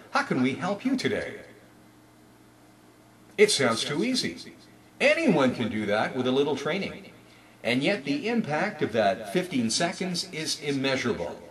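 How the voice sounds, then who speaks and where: A man's voice: medium pitch, fairly bright tone. A man giving an instructional talk in an emphatic, salesman-like manner, as a trainer in store announcements.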